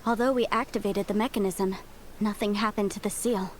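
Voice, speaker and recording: An actress playing an earnest, thoughtful researcher of ancient ruins in a game, speaking articulately. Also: female voice, medium pitch, fairly even intonation, a little fast, slight background noise, close to an everyday voice. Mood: explaining. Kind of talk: scripted game dialogue.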